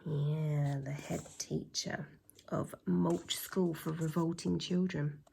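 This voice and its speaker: A woman reading a children's story aloud with dramatic emphasis, pausing to put questions to her young listeners. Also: low voice, steady tone, gravelly, darkish tone, slight background noise, poor audio. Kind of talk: expressive storytime narration with asides to children.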